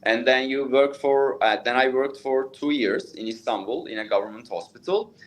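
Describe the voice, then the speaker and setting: A man's voice: medium pitch, normal speed, noisy background. A man in his thirties with a Turkish accent, a plastic surgeon answering interview questions in English at length, with many fillers and restarts. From Turkey.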